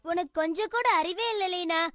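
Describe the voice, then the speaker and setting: A woman's voice: very high voice, a little fast, background noise. A woman voicing a small, skeptical, grumbling magical companion in a children's cartoon, nagging and teasing. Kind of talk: Tamil-dubbed children's cartoon acting.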